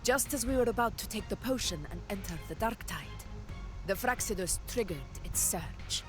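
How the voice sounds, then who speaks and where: A medium-pitched female voice from an actress for a noble warrior leader in a game. She sounds measured, solemn and earnest over clearly audible music. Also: lively intonation, natural voice. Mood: resolute. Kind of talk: dramatic fantasy game acting.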